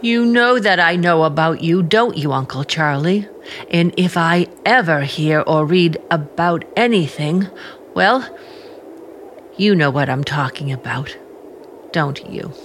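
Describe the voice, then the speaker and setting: An actress playing an earnest, suspicious young niece in old-time radio drama, speaking in a guarded, halting, pointed way. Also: female voice, sits low, lively intonation, a little hiss or hum, poor audio. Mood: firm, warning.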